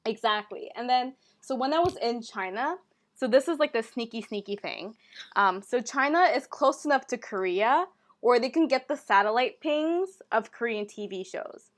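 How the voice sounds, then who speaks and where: A young woman co-hosting a podcast, telling stories in a relaxed, casual way and often saying "like". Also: a little high, normal speed. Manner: relaxed storytelling, heavy use of "like". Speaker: podcast co-host. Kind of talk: casual chat.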